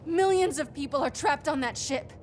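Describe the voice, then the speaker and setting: High-voiced, earnest young heroine played by a woman. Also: normal speed, background noise, lightly acted. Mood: urgent pleading. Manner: pleading, sincere. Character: earnest, compassionate young female superhero newcomer. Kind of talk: video game cutscene acting.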